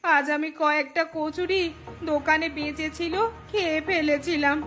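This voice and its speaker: A woman's voice: sits high, steady tone, normal speed, fairly bright tone, faint music behind. A woman voicing an ailing, remorseful village shopkeeper's wife in a moral cartoon, speaking in a plaintive, pleading way. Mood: plaintive, suffering. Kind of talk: Bengali moral cartoon acting.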